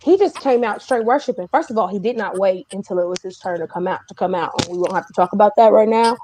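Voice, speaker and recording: A woman hosting a casual interview chat, telling things in an animated, emphatic way. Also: medium pitch, quick pace, rough recording. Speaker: host.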